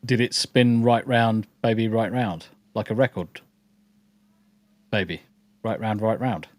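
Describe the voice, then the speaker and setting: A man's voice: on the low side, fairly even intonation, a little slow, no echo. Joking man greeting chat. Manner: joking, greets chat viewers, swears freely. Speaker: podcast co-host. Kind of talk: casual livestream chat.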